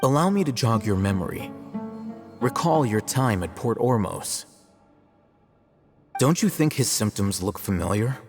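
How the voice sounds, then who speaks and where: An actor playing a composed, analytical young scholar in a video game, speaking in a measured, matter-of-fact way. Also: male voice, medium pitch, lively intonation, big-room sound, over music, lightly acted. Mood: composed, explaining.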